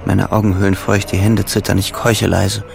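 A frightened front-line soldier speaks his thoughts in a radio play. The low voice is gravelly and breathy, hushed yet intense and varied in pitch. Loud music sits behind it. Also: little pitch movement, moderate pace. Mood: fearful, intense. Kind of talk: radio drama interior monologue.

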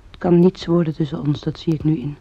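Radio play young woman: low-lit, very mellow tone, flat melody, dull sound, subdued, sad and resigned. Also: quick pace, vocal fry, husky, dry recording, heavily acted. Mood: sad, resolute. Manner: hushed, subdued. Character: resigned, sorrowful young woman reading her farewell letter. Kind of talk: Dutch radio play acting.

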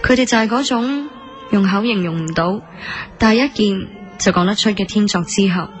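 A woman's voice: mid-range voice, moderate pace, lightly acted. A woman acting a proud, lovesick café woman. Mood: jealous, aching. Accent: Hong Kong Cantonese. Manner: earnest, indignant, introspective. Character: prickly, proud young café woman, lovesick narrator of her story. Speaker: lead actress and narrator. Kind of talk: radio drama acting with narrated inner monologue. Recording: studio drama with music underneath.

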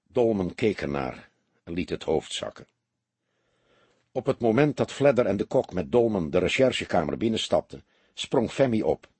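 Male narrator, for crime audiobook: lowish, raspy, animated, very dry close sound. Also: no room sound. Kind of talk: dramatic scripted narration of a detective novel.

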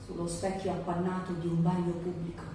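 An actress playing an introspective woman, reciting a surreal poetic stage monologue in a solemn, measured way with long pauses. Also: completely flat delivery, close to an everyday voice. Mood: solemn, dreamy. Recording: echoey stage, distant mic, rumbly noise.

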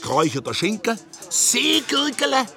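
A man's voice: very high voice, huge pitch swings, normal speed, bright, forceful tone, exaggerated voice. An actor playing a boastful male fairground cook in broken German, with singsong sales patter. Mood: hawking. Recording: radio play with music behind.

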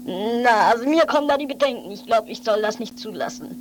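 A woman's voice: a little high, animated, fairly quick, lightly acted, poor audio. Woman as a weary, bossy guardian over music. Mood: doubtful. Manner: grumpy, dismissive, sarcastic. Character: weary, bossy, protective guardian scolding a reckless companion. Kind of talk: improvised amateur radio play.

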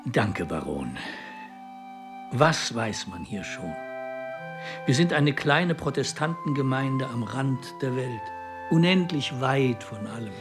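An actor playing a courteous, shrewd and inquisitive president in a radio drama, speaking in a measured, probing way. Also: male voice, mid-range voice, big pitch swings, over music. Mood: modest, sly. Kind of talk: German radio drama acting.

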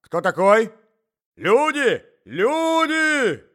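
An agitated old gentleman, acted out by a male reader in a storybook reading. He blusters and shouts in a raised pitch, swooping widely as he repeats his indignant demands. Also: sing-song, normal speed. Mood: panicked shouting. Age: older.

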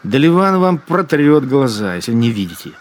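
An actor playing a bitter, spiteful wartime machine-gunner in a radio drama, grumbling with sardonic scorn. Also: male voice, mid-range voice, sing-song, moderate pace, close to an everyday voice. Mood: angry scolding. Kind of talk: radio drama interior monologue.

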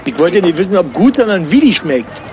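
A man's voice: high voice, big pitch swings, a little fast, soft background music. An actor playing an indignant man out drinking with friends in a comedic radio play, rambling and stammering. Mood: mock-fatherly, sceptical. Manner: rambling, repetitive, stammering when worked up.

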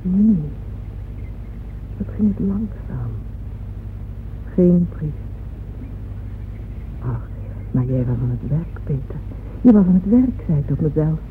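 An actress playing a grieving, lovesick woman patron who recalls her heartbreak in a halting radio drama monologue. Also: low-pitched, big pitch swings, exaggerated voice. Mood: wistful waiting. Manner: hushed, halting, repeats words in anguish. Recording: muffled phone-like old radio recording, noisy.

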